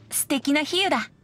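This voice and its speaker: A woman's voice: extremely high pitch, full character voice. A woman voicing a youthful, carefree boy bard in an anime game, with a playful, theatrical manner and polite boyish speech. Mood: amused.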